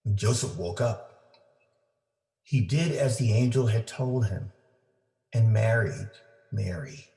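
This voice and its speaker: Male storyteller, mid-pitched voice, unhurried pace, deliberate phrasing, family read-aloud, echoey room. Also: lively intonation, gravelly, warm tone. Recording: roomy, echoing indoor sound.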